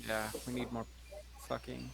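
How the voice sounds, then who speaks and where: A man's voice: medium pitch, completely flat delivery, normal speed, vocal fry, fairly bright tone, slight background noise. A man chatting casually as a player in a tabletop role-playing game. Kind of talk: casual chat.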